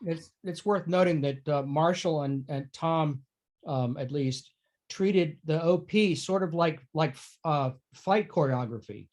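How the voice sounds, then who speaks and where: Higher-voiced man chatting on a panel. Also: measured pace, a little mellow. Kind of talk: casual conversation.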